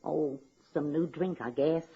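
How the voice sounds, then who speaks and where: A woman's voice: low voice, measured pace, slightly rough, full character voice. An actress playing a folksy, blunt, unworldly woman with a wry, ungrammatical, drawling delivery in a radio comedy drama. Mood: wry, chatty. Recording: dull, lo-fi old radio recording.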